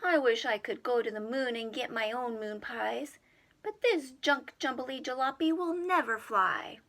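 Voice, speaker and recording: A woman's voice: a little high, a little slow, fairly bright tone. A woman voicing an eager, grumbling young boy adventurer from a storybook, with an exclamatory, wishful delivery.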